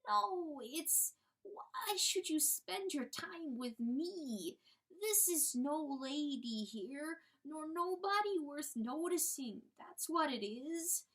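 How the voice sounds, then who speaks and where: A woman's voice: high-pitched, lively intonation, takes it slowly, a bit bright, voice pushed up, heavily acted, rough recording. Woman doing a timid little crippled hen. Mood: pleading. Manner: whining, pleading, old-fashioned country speech.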